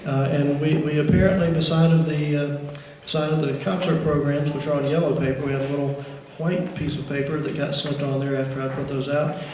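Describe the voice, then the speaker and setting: Man, orchestra teacher, rambling, slightly high voice, big reverberant hall. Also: little pitch movement, fairly quick, few pauses, darkish tone. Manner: rambling, many "uh" fillers and restarts. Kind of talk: introducing a school concert. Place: large school auditorium. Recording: echoey auditorium, faint noise.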